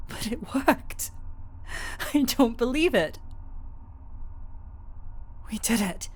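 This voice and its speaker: Slow-speaking, emotive gentle woman doctor, acted by a woman. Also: a little high, expressive, heavily acted. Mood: relieved, weary. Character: gentle, desperate female doctor in fantasy adventure. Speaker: voice actor in audio roleplay. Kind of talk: dramatic audio roleplay acting.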